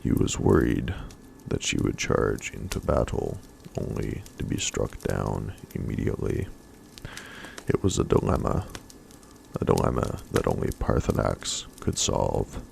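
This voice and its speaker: Guy doing asmr story reading, breathy n hushed, really slow, lots of fry, bit of ambient noise. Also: a bass voice, expressive. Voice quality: breathy, hushed, often whispered.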